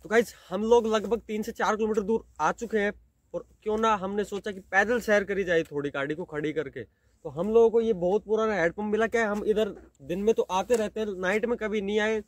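A young man vlogging a ghost challenge on a dark rural road at night, talking excitedly to his viewers from the road and from inside a car. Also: high-pitched, brisk. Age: young adult. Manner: excited, addresses viewers as guys. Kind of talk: ghost-hunting night vlog.

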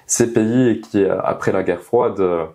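A man's voice: fairly low pitch, unhurried, mellow. A young man being interviewed, explaining his views earnestly and emphatically, repeating key phrases, on a lapel mic indoors. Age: young adult. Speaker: interviewed engineer. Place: small meeting room, indoors. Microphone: clip-on lapel mic.

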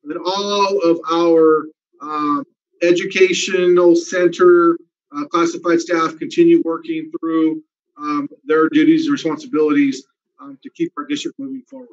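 A man addresses school staff from an indoor office over a video call. His voice sits a little high, and he fills pauses with "um." The webcam audio comes through dull and lo-fi. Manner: steady, many "um" fillers. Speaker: school district administrator. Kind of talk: town hall presentation over video call.

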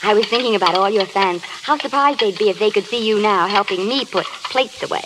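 An actress playing a shy but plucky young aspiring actress, sweet and candid, in 1930s radio drama style. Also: female voice, medium pitch, fairly quick, natural voice. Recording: very noisy, crackly old radio broadcast.

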